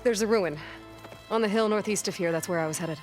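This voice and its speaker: Brief: actress playing a wary, dry young woman hunter in a game, mid-pitched, score music under her. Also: fairly quick, natural voice. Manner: guarded, dry. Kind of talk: video game cutscene acting.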